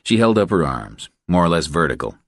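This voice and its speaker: A man's voice: deep voice, sing-song, fairly quick, dry recording, studio quality. A man reading scripted thriller narration as an audiobook narrator.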